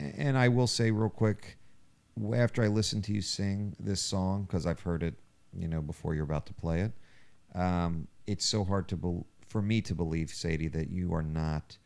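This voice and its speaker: Casting: male podcast host, very deep and resonant, earnest and conversational. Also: a little mellow.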